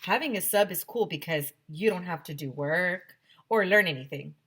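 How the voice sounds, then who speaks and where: A woman reading a children's book aloud as a teacher to her class, with deliberate, expressive storytelling. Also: on the low side, breathy edge.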